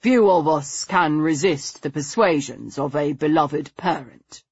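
A woman voicing a calm, courteous, learned man in a storybook, speaking in a soothing, measured way. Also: deep voice, slightly rough, no echo, muffled recording, heavily acted.

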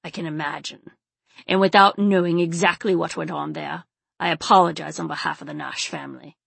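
A woman voicing a grim, protective male enforcer in an audiobook, grave and measured with a low growl. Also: steady tone, vocal fry, fairly bright tone, slightly rough, no echo, exaggerated voice. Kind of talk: audiobook character acting.

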